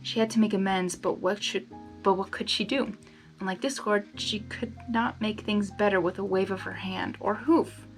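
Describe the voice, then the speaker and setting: A woman reading a story aloud in an expressive manner, breaking into casual, exasperated reactions to the characters. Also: mid-range voice, normal speed, soft background music. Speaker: fan fiction reader.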